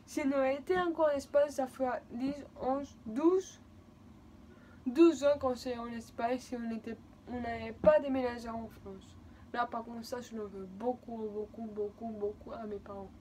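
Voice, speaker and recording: Young woman w/ spanish accent, french isn't her first language, rambly emotional vlog talk, bit high voice, faint background hiss. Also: fairly even intonation, measured pace, mellow. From Spain. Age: 20s. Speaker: vlogger. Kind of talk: personal storytelling to camera.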